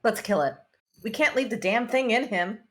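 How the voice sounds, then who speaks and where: A woman's voice: on the high side, normal speed, lightly acted. A woman playing an anxious, blunt spaceship crew member in a sci-fi script read. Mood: urgent.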